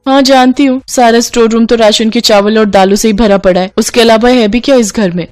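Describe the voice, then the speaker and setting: A woman's voice: a little high, steady tone, brisk, darkish tone, faint music behind, voice pushed up, natural voice. A woman voicing a fed-up but timid young Indian daughter-in-law, whiny and exasperated, in a story. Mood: complaining. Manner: whiny, exasperated, polite to elders.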